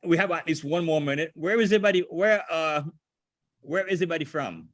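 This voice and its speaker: Older man from Haiti, halting speech. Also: on the high side, expressive, dry recording. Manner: halting, restarts phrases often. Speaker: ground school instructor. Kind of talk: casual video call meeting. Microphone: video call.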